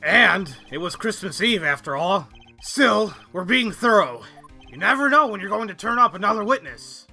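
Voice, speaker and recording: A man voicing a gruff, blustering but loyal police detective in an amateur video game dub, brash and folksy. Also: sits high, sing-song, moderate pace, bright, forceful tone, soft background music, full character voice. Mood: earnest, loyal. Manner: brash, folksy, calls people pal.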